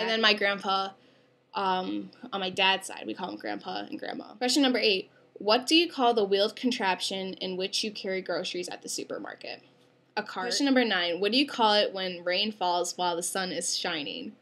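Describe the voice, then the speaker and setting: Young woman, upstate New York accent, bright tone, chatty and rambling vlogger read. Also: mid-range voice. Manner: chatty, rambling with many fillers. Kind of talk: casual accent tag video.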